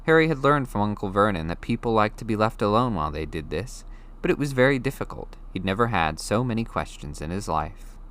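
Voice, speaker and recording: Animated male storybook narrator, slightly low voice. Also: a little fast. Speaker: audiobook narrator.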